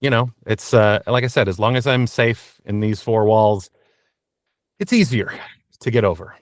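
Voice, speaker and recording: A man speaking as an interview guest about his work as a voice actor, in a reflective, halting, self-deprecating way from a small booth. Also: lowish voice, dry recording, clean studio sound. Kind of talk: candid interview talk. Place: small blanket-fort recording booth.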